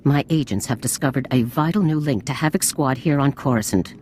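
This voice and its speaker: An actress playing a stern female military general who gives brisk, authoritative orders. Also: extremely low pitch, a little mellow, a little hiss or hum. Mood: commanding. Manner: brisk, authoritative, scornful of bureaucrats.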